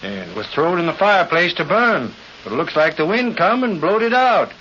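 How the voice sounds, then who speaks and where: An actor playing a plainspoken deputy with a rustic American accent in a 1940s radio western. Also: male voice, sits high, big pitch swings, a little fast, sharp tone, a little hiss or hum, full character voice. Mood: plain, grave. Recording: muffled old radio recording.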